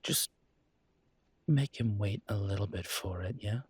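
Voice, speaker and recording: A slow-talking, husky mafia boss acted out by a man in an intimate roleplay audio drama. He is charming and polite, teasing his listener with playful, flirty pauses. The sound is dry and close. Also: fairly low pitch, darkish tone, no echo. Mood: smooth, persuasive.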